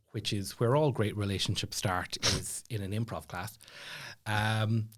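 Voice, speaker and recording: A man with an Irish accent telling stories casually as a podcast guest, chatty and self-deprecating, like a stand-up comedian off stage. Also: fairly low pitch. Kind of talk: casual storytelling chat.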